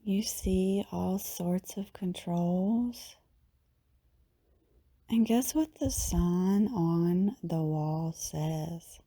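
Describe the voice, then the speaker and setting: Woman doing guided meditation, super slow and mellow, soothing, lots of pauses, hushed at the ends of phrases. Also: lowish voice, fairly even intonation. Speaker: yoga teacher, meditation guide.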